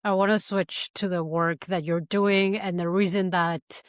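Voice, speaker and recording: A woman hosting a podcast interview, asking questions gently and affirming her guest. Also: lowish voice, steady tone, measured pace, smooth, no echo, studio quality. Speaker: podcast host.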